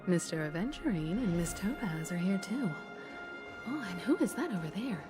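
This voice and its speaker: A woman's voice: lowish voice, animated, normal speed, close to an everyday voice. A woman voicing a poised, gracious, mature crew leader in a video game, elegant and formal. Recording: music under the voice.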